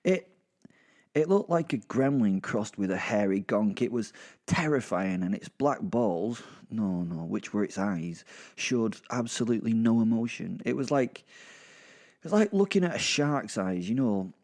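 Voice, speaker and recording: A man's voice: on the low side, lively intonation, measured pace, a little mellow. A man with a British accent narrating a horror audio drama in the first person, chatty and wry with sweary asides. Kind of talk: first-person conversational storytelling.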